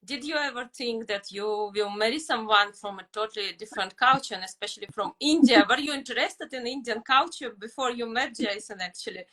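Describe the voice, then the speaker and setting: A woman's voice: on the high side, fairly quick, hardly any pauses, pushed and bright, low-quality recording. A woman hosting an interview who asks her guests questions in a polite, structured way. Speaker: interview host. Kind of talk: hosted interview.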